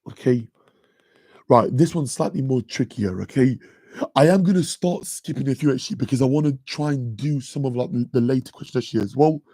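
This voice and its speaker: Deep-voiced man tutoring maths. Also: warm tone, slightly rough, no room sound, rough recording. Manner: chatty, says "okay" and "guys" constantly. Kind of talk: live-streamed exam revision lesson.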